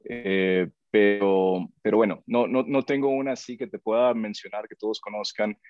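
A man with a fairly low voice. He answers off the cuff on an investment panel, heard through a lo-fi but dry video call. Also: fairly even intonation, normal speed, no echo. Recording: dull, lo-fi video-call audio.

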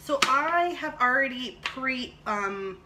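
Lady rambling through a cooking demo in her kitchen, thinking out loud, dishes clanking and clicking behind her. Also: high voice, animated, slow pace. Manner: rambling, chatty, thinking aloud. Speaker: vlog host cooking and reviewing a product. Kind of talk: casual chat while demonstrating cooking. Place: home kitchen, indoors. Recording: clattering dishes and clicks, noisy room.

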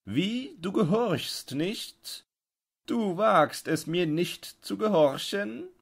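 A man's voice: high-pitched, animated, very slow, vocal fry, breathy edge, pitched above the performer's own voice, full character voice. A man voicing a condescending, domineering dog owner with an angry temper in a dramatised storybook reading. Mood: enraged. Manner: condescending, commanding, sharply insistent.

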